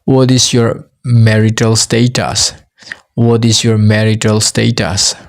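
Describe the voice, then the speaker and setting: A native Mizo-speaking man with a Mizo accent runs an English lesson at an unhurried pace. His voice sits fairly low. He says each practice phrase twice, so learners can follow and repeat. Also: level tone. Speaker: English teacher.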